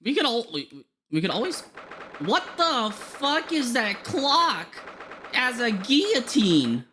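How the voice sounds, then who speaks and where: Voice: mid-range voice, very animated, slow pace, sharp tone, a little hiss or hum. A streamer casually commenting on a game playthrough, rambling, swearing and laughing.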